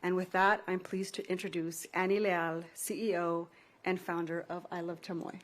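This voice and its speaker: A woman with a somewhat low voice speaks as a company representative. She delivers prepared testimony in a measured, formal and scripted manner. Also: flat delivery.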